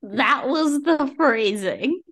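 A woman's voice: sits high, lively intonation, takes it slowly, fairly bright tone. A woman co-hosting a book podcast in a casual chat, giving blunt, jokey opinions.

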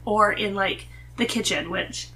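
A woman's voice: medium pitch, a little slow, bright, forceful tone, poor audio. A woman with a nasal voice, talking casually as a podcast guest. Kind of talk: casual conversational chat. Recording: noticeable background noise.

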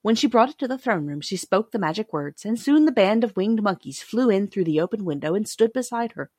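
A woman's voice: mid-range voice, lively intonation, brisk, mellow, no room sound, studio quality. A woman reading scripted storybook narration as an audiobook narrator.

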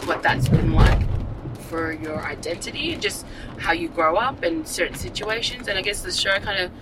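A young woman, an actor and writer, talking candidly in a casual interview and telling animated stories with many fillers and restarts. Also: lowish voice, normal speed, very noisy. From Australia.

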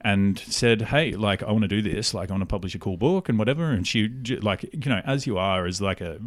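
A man's voice: on the low side, fairly quick, no echo. An Australian man in his thirties, telling stories in an informal, rambling, slangy way as a podcast guest. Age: 30s. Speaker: podcast guest, cookbook publisher. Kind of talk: candid casual interview chat.

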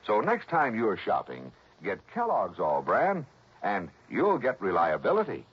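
A man reading a smooth, persuasive commercial as a radio announcer. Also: wildly swooping pitch, unhurried, background noise. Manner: smooth, persuasive sales pitch. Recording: dull old radio broadcast with music.

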